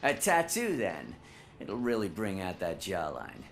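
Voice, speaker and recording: A man's voice: low voice, huge pitch swings, a little slow, slight background noise, full character voice. A man playing a cocky, evasive tattoo artist in a video game, talking in slangy, dismissive phrases. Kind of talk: video game cutscene acting.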